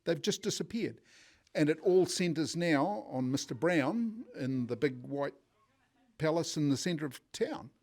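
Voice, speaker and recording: A man's voice: fairly high pitch, animated, unhurried, darkish tone. An older man from South Auckland with a New Zealand accent, reminiscing in a rambling, conversational way at a public forum.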